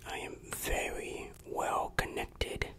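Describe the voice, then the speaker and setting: Hushed, slow ASMR whisperer. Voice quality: whispered, breathy. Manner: hushed, confiding, deliberate. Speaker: ASMR creator playing a shady dealer. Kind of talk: ASMR roleplay.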